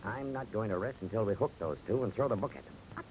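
An actor playing a weary, plain-spoken, sympathetic police detective in a matter-of-fact radio drama style. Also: male voice, lowish voice, lively intonation, fast talker, darkish tone, natural voice. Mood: sombre. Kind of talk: 1940s radio acting. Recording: faintly noisy old radio recording.

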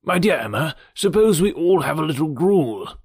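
A man voicing a fussy, anxious elderly gentleman who dotes on his daughters and worries over health, in an audiobook. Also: fairly high pitch, sing-song, moderate pace, gravelly, breathy edge, no room sound, studio quality, voice pushed up, heavily acted. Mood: fretful doting. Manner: doting, worried, gently insistent. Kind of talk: audiobook character reading.

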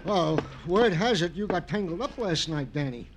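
An actor playing an eager, chummy pal with street news, breezy and colloquial, in old radio drama style. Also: male voice, on the high side, expressive, normal speed, slight background noise. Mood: earnest, newsy. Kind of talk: 1950s radio crime drama acting.

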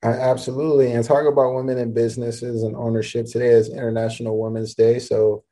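A man hosts an interview over a video call, keeping to brief affirmations and prepared questions. His voice is extremely dark and nearly flat in pitch. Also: quick pace. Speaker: podcast host.

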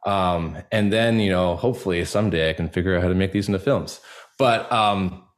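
A man's voice: low voice, a little fast. A young man answering interview questions as a guest, talking in a casual, earnest, rambling way peppered with "like" and "you know". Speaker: podcast guest, actor and audio drama creator.

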